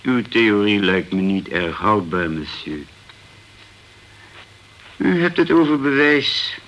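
An actor playing a formal, sceptical police inspector in a Dutch radio crime drama, measured and challenging. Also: male voice, lowish voice, expressive, fairly bright tone.

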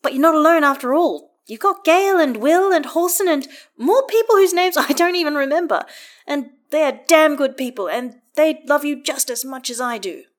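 A woman putting on a loud, warm, swearing tough gal. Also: sits high, lively intonation, normal speed, pitched above the performer's own voice. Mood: earnest. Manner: swears freely, earnest, raised and brighter than the narration. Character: warm, blunt, tough-talking woman, fiercely loyal best friend.

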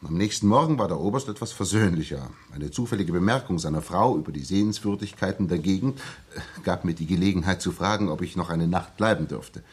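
A man's voice: deep voice, a little fast, few pauses, husky. An actor playing an earnest, unsettled ex-soldier recounting his story in a 1960s German radio drama, sometimes hesitant. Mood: earnest, suspenseful. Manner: earnest, hesitant, repeating words when agitated. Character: earnest, shaken young English ex-soldier telling his story.

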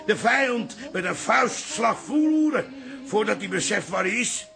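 A man's voice: high voice, lively intonation, unhurried, creaky, music in the background, heavily acted. An actor playing a feisty, blustering old father and proud city man with a fighting past, in a Dutch radio drama. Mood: combative. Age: older.

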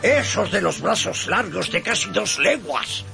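Creaky, pompous old knight-errant. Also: male voice, high voice, expressive, unhurried, heavily acted. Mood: resolute. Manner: pompous, archaic, declamatory. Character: deluded old knight-errant, grandiose, stubborn, valiant. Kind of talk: radio drama acting. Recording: music bed behind the voice.

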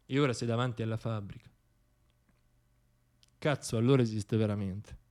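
A man performing a theatrical radio monologue, telling a story in a wry, ironic way with dramatic pauses and swells. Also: fairly low pitch, steady tone, a little mellow.

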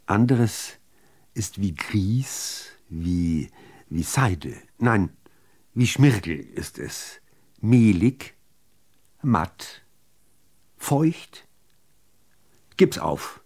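A man reciting literary poetry in deliberate, measured phrases with pauses between them. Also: fairly low pitch, lively intonation, darkish tone, husky. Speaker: reader of poetry.

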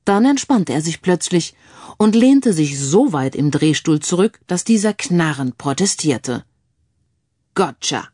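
A woman's voice: on the low side, animated, unhurried, warm tone, no echo, clean studio sound. A woman reading scripted crime fiction as an audiobook narrator.

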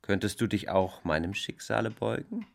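An actor playing a wounded, reproachful housebound brother in a German radio play, speaking in a grave and portentous way. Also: male voice, lowish voice, wildly swooping pitch, moderate pace, vocal fry, heavily acted. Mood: ominous.

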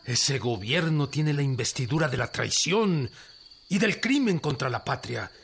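An actor playing a stern, defiant revolutionary general in a radio drama, with a Mexican accent and a firm, declamatory delivery. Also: male voice, mid-range voice, expressive, measured pace, vocal fry, crowd noise. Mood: indignant. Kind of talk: Spanish-language radio drama acting.

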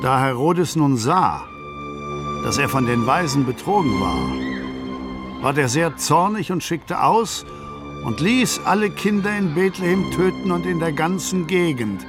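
A solemn evangelist recites scripture formally in a radio play. His voice sits rather high for a man, over clear background music. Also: lively intonation, measured pace. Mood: solemn, stern. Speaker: reader of scripture. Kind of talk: scripted Bible reading.

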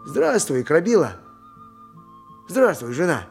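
A man's voice: on the high side, very animated, slow, creaky, soft background music, exaggerated voice. An actor playing a poor, downtrodden ancient Greek donkey driver in an audio drama, plaintive, simple and resigned, both telling and acting his story. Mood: resigned, sorrowful. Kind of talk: audio drama, dialogue mixed with first-person narration.

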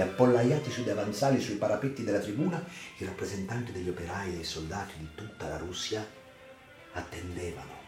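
A man narrates a radio drama as literary reportage, in a measured, theatrical, solemn delivery with deliberate pauses. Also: lowish voice. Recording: reverberant voice over music. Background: music.

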